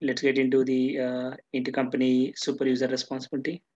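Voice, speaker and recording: A man talks through a software tutorial step by step in a flat, even voice of medium pitch. He is halting and often restarts his phrases. The recording is dull and lo-fi. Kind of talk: screen-share tutorial, unscripted step-by-step explanation.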